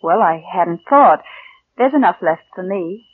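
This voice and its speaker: An actress playing a plain, meek young niece with restrained, wistful delivery in mid-century radio drama. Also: female voice, fairly low pitch, lively intonation, unhurried, husky, narrow, tinny audio. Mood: hesitant. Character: plain, meek young woman, lovelorn, quietly resentful niece. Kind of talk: 1940s-50s radio drama acting and first-person narration. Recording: band-limited old radio broadcast.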